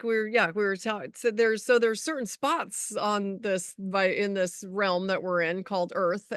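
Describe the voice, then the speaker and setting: A woman's voice: medium pitch, smooth, dry recording, clean studio sound. A middle-aged woman co-hosting a spiritual talk show, telling stories in a casual, rambling way. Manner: rambling, anecdotal storytelling. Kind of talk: casual conversational chat.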